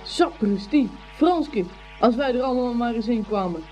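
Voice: medium pitch, animated, moderate pace, darkish tone, faint music behind, close to an everyday voice. An actor playing an exuberant, good-hearted young schoolboy in a Dutch radio play, eager and boisterous. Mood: excited.

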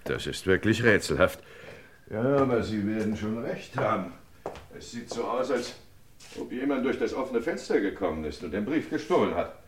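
Radio crime drama: genial, apologetic gentleman, deep voice, hesitant phrasing, very lively intonation. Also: normal speed, a little hiss or hum. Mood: flustered, puzzled. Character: obliging, apologetic gentleman host, flustered. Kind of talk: German radio crime play acting.

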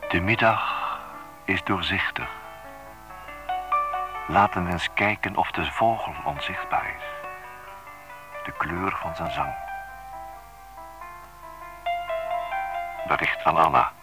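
An actor playing a possessive, teasing lover who speaks in measured, intimate phrases in a radio drama. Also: male voice, sits low, music in the background. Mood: teasing, controlling. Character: possessive, teasing adult male lover on an answering machine.

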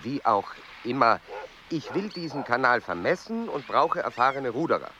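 An eager, formal explorer-scientist played by a man in a radio play. His pitch swings very widely, from stiff correctness to bright exclamation. The sound is a bit muffled, with loud outdoor ambience behind. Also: big pitch swings, moderate pace, background noise, close to an everyday voice. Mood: brisk, formal. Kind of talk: radio drama acting.

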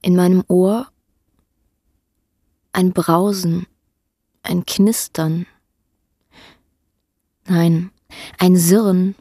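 An actress playing a weary woman who longs for God, reciting poetic radio drama in a measured, lyrical way. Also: lowish voice, fairly even intonation, dark tone, no room sound, studio quality, heavily acted. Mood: searching, anxious. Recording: music under the voice. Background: music.

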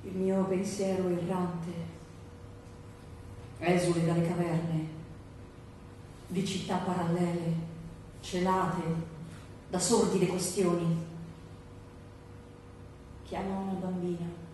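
An actress playing an introspective woman, reciting a surreal poetic stage monologue in a solemn, measured way with long pauses. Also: level tone, mellow. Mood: solemn, dreamy. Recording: echoey stage, distant mic, rumbly noise.

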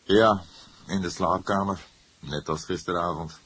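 A narrator, a man, plays a grizzled veteran police detective from a crime audiobook. He is deep and very husky, speaking with deliberate authority and an unyielding temper. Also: fairly even intonation, a bit bright, no room sound, exaggerated voice. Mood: flat, matter-of-fact.

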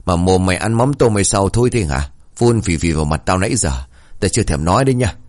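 A man's voice: deep voice, animated, fast talker, darkish tone. A man voicing a deaf, cranky old village man near ninety who mishears and scolds crudely. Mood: grumpy.

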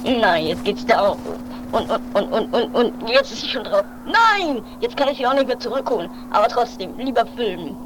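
An amateur radio-play performer voicing an anxious, self-reproaching mountaineer who mutters haltingly and repeats words. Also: mid-range voice, sing-song, natural voice. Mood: panicked, self-reproaching. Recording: noisy homemade 1980s radio play recording.

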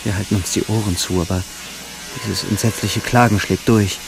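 Young ww1 soldier telling the story, lowish crackly voice, short intense lines, music under it. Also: male voice, steady tone, normal speed, gravelly. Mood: anguished, somber. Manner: terse, intense, fragmentary sentences. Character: young German soldier narrating frontline horrors of World War One. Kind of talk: dramatic radio play narration in present tense. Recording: loud war effects and music underneath. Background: music, battle sound effects.

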